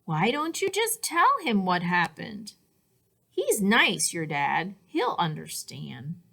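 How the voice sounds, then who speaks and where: A woman's voice: on the low side, huge pitch swings, slow pace, gravelly, sharp tone, heavily acted. A woman voicing a kind, thoughtful female rabbit in a children's storybook, friendly and soothing.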